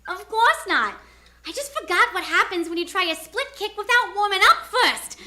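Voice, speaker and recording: A woman's voice: very high-pitched, expressive, moderate pace, bright, forceful tone, full character voice. A woman playing a detective's feisty sweetheart in a comic live radio drama, with a spunky delivery. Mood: feisty, wincing. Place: theater stage.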